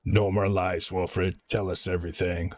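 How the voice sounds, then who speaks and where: A man casually commentating a game livestream and reading quest text aloud in a low-key way, with small stumbles and a husky voice. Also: level tone, unhurried.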